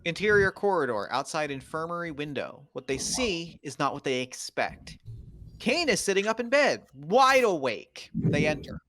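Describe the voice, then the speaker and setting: A man's voice: fairly high pitch, sing-song, moderate pace, bright, forceful tone. A man reading sci-fi screenplay stage directions aloud as the narrator of a table read.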